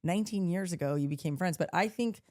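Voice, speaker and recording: A woman with a deeper voice co-hosting a podcast, chatting casually, reading out listener messages and laughing as she swears playfully. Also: fast, darkish tone. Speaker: podcast co-host. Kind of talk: casual chat.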